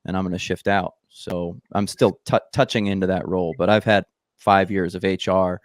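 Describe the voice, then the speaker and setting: A man with a low voice hosts a podcast interview from a home studio. He speaks right into a large studio microphone, and the sound is dry with no room echo. His delivery is relaxed and joking, full of casual likes. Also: normal speed. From United States. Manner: joking, relaxed, heavy use of like. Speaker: podcast host. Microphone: headphones and large studio mic.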